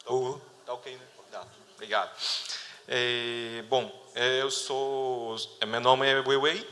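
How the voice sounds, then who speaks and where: Man, Chinese accent with some Rio lilt, bright voice, hesitant, lots of restarts, reverberant hall. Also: medium pitch, level tone, slow. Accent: Chinese, with a picked-up Rio de Janeiro lilt. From China. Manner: hesitant, many restarts and fillers. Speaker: company executive, panel speaker. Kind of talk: conference talk.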